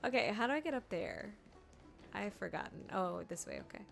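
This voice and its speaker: A woman's voice: mid-range voice, sing-song, a little fast, gravelly. A woman live-streaming a game, reacting aloud with nervous laughter and dramatic dread. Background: game music.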